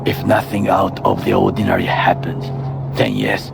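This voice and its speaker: Game role: dutiful, measured ex-bodyguard, middle-aged, Japanese accent, deep and creaky. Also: male voice, flat delivery, music in the background. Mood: grave, cautious. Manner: formal, measured, clipped phrasing. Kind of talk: video game voice acting.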